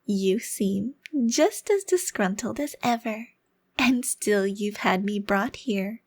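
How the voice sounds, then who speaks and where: A woman's voice: medium pitch, lively intonation, no echo. A woman playing a smug, taunting, youthful-looking vampire crime lord in an ASMR roleplay, with a theatrical, condescending delivery. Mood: smug, mocking. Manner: theatrical, condescending, formal wording with drawn-out emphasis. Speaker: audio roleplay performer.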